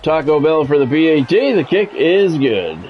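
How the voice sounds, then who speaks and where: A man's voice: fairly high pitch, very animated, normal speed. An American man doing play-by-play commentary on a football game in short, clipped announcer phrases. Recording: dull lo-fi sound, music behind.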